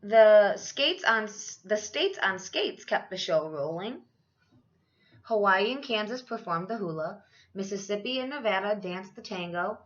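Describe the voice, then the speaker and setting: A woman reading a children's picture book aloud like a teacher, in an expressive, animated manner. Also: medium pitch, measured pace. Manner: animated, acts out dialogue. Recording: dull, lo-fi sound.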